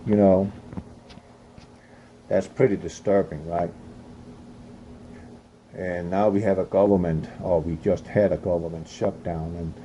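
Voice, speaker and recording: European guy reading news out loud, stumbles and restarts, low mellow voice. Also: fairly even intonation, highs cut off, a little hiss or hum. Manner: halting reading with restarts and coughs. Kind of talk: reading news aloud with opinionated commentary.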